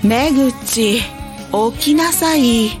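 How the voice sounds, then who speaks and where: A woman's voice: a little high, very slow. An actress playing a stern, urgent witch instructor in an audio drama, scolding with authority. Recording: music behind the voice.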